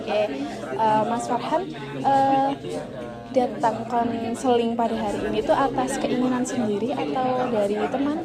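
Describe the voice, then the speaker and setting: A woman's voice: on the high side, steady tone, unhurried, over loud music. A young woman speaking as a student counselor, politely and reassuringly asking questions in a counseling session. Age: young adult. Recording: busy public hall, loud crowd chatter.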